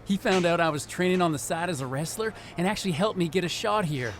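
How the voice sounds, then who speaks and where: A man playing an eager, talkative young American rookie wrestler in a video game cutscene, chatty and excitable. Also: sits high, fast, slight background noise. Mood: boastful, eager.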